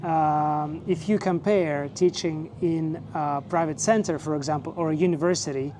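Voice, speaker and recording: Man in his forties, soft warm tone, slightly raised pitch, relaxed storyteller, faint hiss behind. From Russia. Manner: relaxed, measured, with fillers and short laughs. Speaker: vlogger and teacher. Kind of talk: casual personal storytelling.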